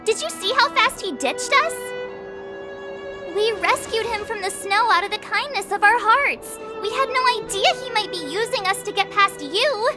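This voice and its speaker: A woman's voice: extremely high pitch, expressive, moderate pace. A woman voicing a perky, indignant teenage girl adventurer in a video game, chatty and exclamatory. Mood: indignant, pleading. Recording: game music behind the voice. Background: orchestral violin music.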